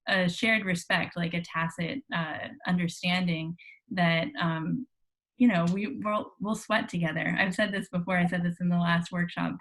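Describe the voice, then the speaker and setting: A woman's voice: lowish voice, low-quality recording. A woman moderating an online workshop panel, chatty with lots of fillers, speaking over a video call. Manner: chatty, many fillers and restarts. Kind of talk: casual panel discussion.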